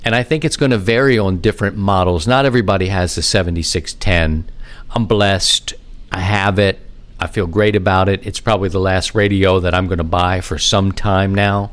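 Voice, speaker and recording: Low-voiced man, casual podcast host. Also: fairly even intonation, moderate pace. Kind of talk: casual rambling hobby monologue on amateur radio.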